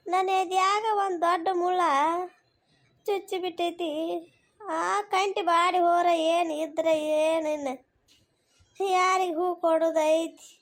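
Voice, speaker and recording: Heartbroken young village wife for cartoon comedy, tiny high voice, drawn-out plaintive delivery, North Karnataka accent. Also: female voice, level tone. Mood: sulky, hurt.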